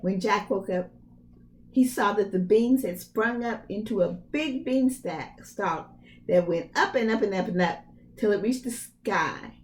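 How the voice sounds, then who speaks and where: A woman's voice: medium pitch, measured pace, slight background noise. A woman reading a storybook aloud to young children expressively, with casual asides and emphatic phrasing. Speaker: preschool read-aloud storyteller.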